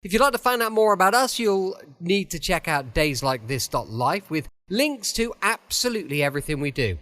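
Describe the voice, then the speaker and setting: A British man introduces a radio show in a chatty, welcoming way. His voice is on the high side and rises and falls in a very animated manner. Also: moderate pace. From United Kingdom. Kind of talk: cosy scripted show introduction.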